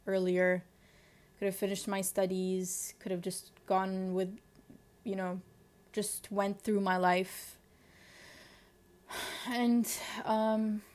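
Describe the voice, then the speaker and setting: A woman's voice: medium pitch, darkish tone. Young lebanese woman in her 20s doing a solo diary podcast, open and reflective, keeps restarting her sentences. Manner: candid, introspective, searching for words. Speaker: podcast host. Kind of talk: personal diary-style podcast monologue.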